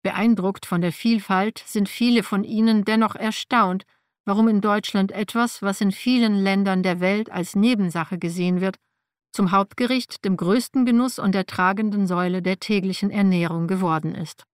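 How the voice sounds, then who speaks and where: A woman's voice: medium pitch, fairly even intonation, moderate pace, no room sound, studio quality. A woman whose first language is Slavic reads a personal nonfiction text aloud in a wry, matter-of-fact way. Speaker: narrator.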